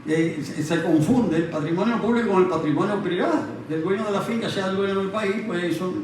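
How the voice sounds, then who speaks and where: A man explaining history in conversation, haltingly and reflectively, often repeating phrases. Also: sits high. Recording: very echoey room, distant mic, faint noise.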